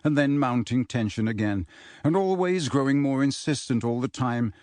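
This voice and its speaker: A man narrates a novel as an audiobook reader. His voice sits at a middle pitch and follows the written prose. The recording is dry, with no room sound. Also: lively intonation, normal speed, darkish tone, no echo. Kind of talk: scripted story narration.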